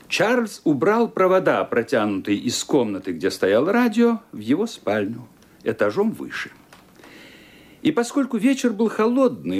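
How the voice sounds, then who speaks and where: An actor playing the storyteller of a radio crime drama, narrating dramatically in measured, expressive phrases. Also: male voice, mid-range voice.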